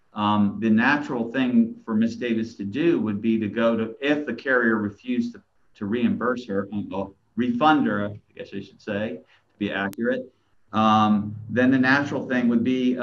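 Middle-aged man, attorney, halting restarts, measured legal argument, muffled lo-fi webcam audio, small plain room. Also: medium pitch. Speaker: attorney arguing an appeal. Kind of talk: courtroom oral argument. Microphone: video call webcam. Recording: dull, lo-fi video call audio.

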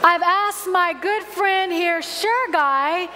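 A woman in her forties preaching a sermon at a lectern in a large church, telling stories in a gentle, measured way. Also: very high-pitched, a crowd in the background. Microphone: lectern microphone. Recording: long hall reverb.

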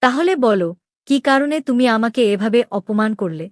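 A woman voicing a proud, lovestruck young princess in an animated story, questioning with emotion. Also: fairly high pitch, moderate pace, no room sound, studio quality, close to an everyday voice. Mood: hurt, demanding. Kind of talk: dramatic Bengali animated story dialogue.

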